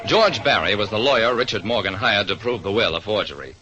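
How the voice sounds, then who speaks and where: Brisk radio private eye, animated delivery. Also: male voice, on the low side, dull audio. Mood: dramatic narration. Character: wry male private detective narrating his case. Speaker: narrator. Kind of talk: 1940s radio detective acting, scripted narration. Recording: noisy vintage radio recording.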